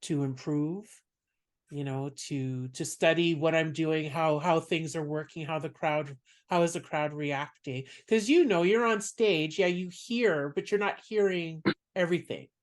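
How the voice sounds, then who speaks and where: A man from Canada with a soft voice, a stand-up comedian speaking as a podcast guest in reflective, unhurried talk. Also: fairly high pitch. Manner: reflective, explains at length. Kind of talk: casual interview chat.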